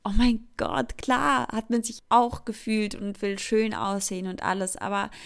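A woman's voice: mid-range voice, little pitch movement, a little slow, creaky, smooth. A young woman from Thurgau with a Swiss German accent that is partly Zurich, talking candidly about her own life in a casual vlog. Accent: Swiss German, Thurgau mixed with Zurich. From Thurgau, Switzerland. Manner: candid, chatty, self-mocking. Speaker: vlogger. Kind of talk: casual personal monologue.

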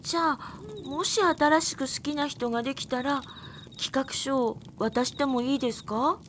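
Actress doing shy polite young girl in her twenties or so, very high voice, radio play, faint noise. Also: moderate pace, a bit bright. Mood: hesitant, meek. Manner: polite, tentative. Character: polite, hesitant young woman, earnest and meek. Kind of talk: audio drama acting.

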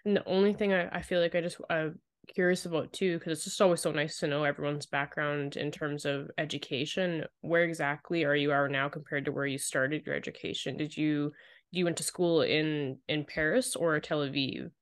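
A woman's voice: deep voice, flat delivery, normal speed. A woman hosting a casual podcast interview, chatty and upbeat, laughing a lot as she asks questions. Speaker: podcast host.

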